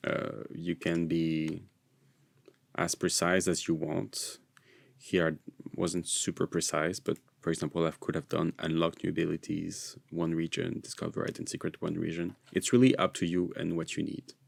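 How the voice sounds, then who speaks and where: A man's voice: low voice, little pitch movement, moderate pace, creaky, no echo. A man who speaks English as a non-native with an American leaning, narrating a screencast tutorial in an informal, explanatory way. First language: not English. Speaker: software tutorial presenter.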